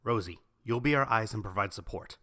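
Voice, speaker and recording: A man's voice: on the low side, brisk, sharp tone, dry recording, studio quality. A man playing a serious young squad leader in a fan audio drama, firm and matter-of-fact. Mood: commanding.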